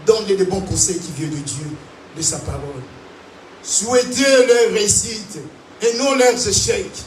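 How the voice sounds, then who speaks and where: A man preaching with a high, bright voice that climbs and falls as he calls out to his congregation. He is heard from some distance in a roomy, echoing hall. A steady noise runs under his voice. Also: normal speed. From Africa. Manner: animated preaching with call-and-response. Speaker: pastor. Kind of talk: sermon. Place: large church hall. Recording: echoey hall, distant mic, noisy.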